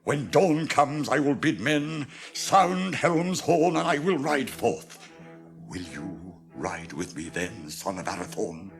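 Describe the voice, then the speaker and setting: A man's voice: medium pitch, lively intonation, takes it slowly, creaky, faint music behind. A British actor in a radio drama playing a proud, weary old warrior king, solemn and declamatory. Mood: grim resolve.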